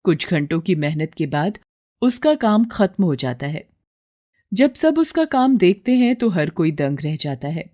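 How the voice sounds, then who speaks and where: A woman's voice: lowish voice, big pitch swings, unhurried, mellow. A woman storyteller reading scripted narration for a children's moral story.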